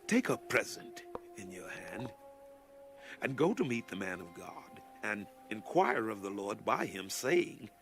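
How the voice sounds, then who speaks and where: A man's voice: lowish voice, sing-song, unhurried, vocal fry, soft background music, full character voice. An actor playing an ailing king giving orders in a dramatized scripture audiobook. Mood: weak, anxious.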